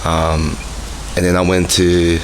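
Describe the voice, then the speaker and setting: A man's voice: deep voice, completely flat delivery, takes it slowly. An Australian man and dance teacher, a podcast guest who talks in a laid-back way with short answers and frequent laughter. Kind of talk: casual interview chat. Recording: background noise.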